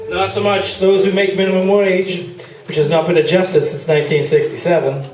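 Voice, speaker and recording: A man's voice: high-pitched, level tone, normal speed, darkish tone, lightly acted. Man playing a wry skeptical newsman, echoey room. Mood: needling, skeptical. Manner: dry, wry, needling. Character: sardonic, skeptical male newsman, a weary needling foil. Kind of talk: live staged radio play dialogue. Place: small theatre stage, indoors. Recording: roomy, distant live theatre video, noisy.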